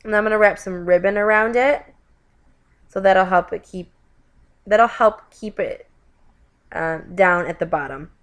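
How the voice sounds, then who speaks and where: A woman's voice: mid-range voice, a little slow, long pauses. Woman, craft host, voiceover. Stuffy from a cold, friendly running chatter with self-corrections. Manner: chatty, with frequent self-corrections and "you guys". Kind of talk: voiceover narration of a card-making tutorial.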